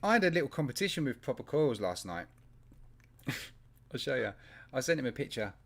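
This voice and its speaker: Lively, laughing man chatting. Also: medium pitch, fairly quick, gravelly, a little hiss or hum. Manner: animated storyteller, laughs often. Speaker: podcast co-host. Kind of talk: casual chat. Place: live online show.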